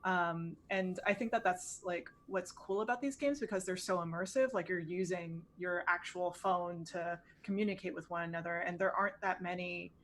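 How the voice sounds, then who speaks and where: A woman in her thirties talks chattily about favorite games, pausing often with ums and uhs. Her voice sits a little low, with a faint hiss of noise behind it. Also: fairly even intonation, fairly bright tone. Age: 30s. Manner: chatty, hesitant, with frequent fillers. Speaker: game designer guest. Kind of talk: casual interview chat.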